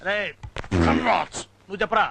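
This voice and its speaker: A man's voice: high-pitched, huge pitch swings, quick pace, creaky, noisy background, heavily acted. A man playing a bullying, easily outraged village schoolteacher, scolding and threatening, often repeating his words in comic film style.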